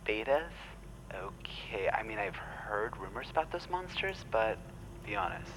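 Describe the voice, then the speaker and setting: A man's voice: fairly low pitch, big pitch swings, measured pace. A man in an audio drama playing a laid-back, sceptical food stocker with slangy talk. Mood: sceptical, puzzled. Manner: slangy, casual. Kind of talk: naturalistic audio drama acting. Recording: noisy ambient background.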